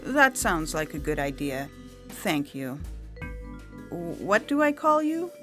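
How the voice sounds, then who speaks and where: A woman's voice: fairly low pitch, sing-song, slow, a bit bright, heavily acted. A woman playing a confused, meek old woman, hesitant and deferential, in a science fiction audio story. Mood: meekly compliant. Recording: music bed under the voice.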